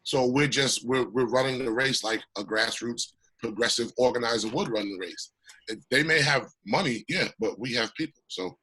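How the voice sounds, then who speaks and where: A man with a raspy voice, still recovering from illness, speaking as a congressional candidate in an interview, emphatic and passionate. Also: medium pitch, normal speed, bright, forceful tone, low-quality recording. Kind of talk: remote interview.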